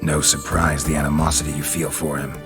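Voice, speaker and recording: An actor playing a weary middle-aged witcher who speaks in terse, dry, deadpan lines, with a game score behind him. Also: rumbling low, fairly quick. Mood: stern. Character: gruff, weary middle-aged male witcher monster hunter. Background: game music score.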